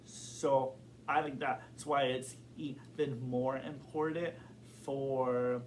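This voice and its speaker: A man's voice: medium pitch, fairly even intonation, takes it slowly, a little hiss or hum. A young man who stutters, answering interview questions openly and thoughtfully, with many fillers and repeated words. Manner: thoughtful, open. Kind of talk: casual interview.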